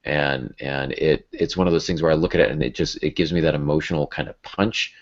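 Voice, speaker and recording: A man with an extremely low voice hosts a casual writing podcast. He talks informally and gets animated to make a point. The recording is dull and lo-fi. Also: a little fast. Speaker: podcast host and writing teacher.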